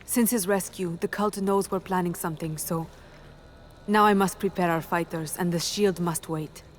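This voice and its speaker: An actress playing a proud, duty-bound rebel warrior who speaks formally and solemnly in a video game cutscene. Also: female voice, fairly low pitch, steady tone, normal speed, a little hiss or hum, natural voice. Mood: grave, resolute. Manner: formal, declarative. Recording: music under the voice.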